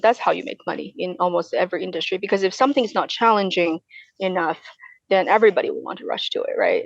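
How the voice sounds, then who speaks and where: A woman's voice: lowish voice, creaky, no echo, dull audio. A woman in her twenties answering conversationally as an interview guest, often repeating and rephrasing her phrases. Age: 20s. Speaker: podcast guest, real estate investor.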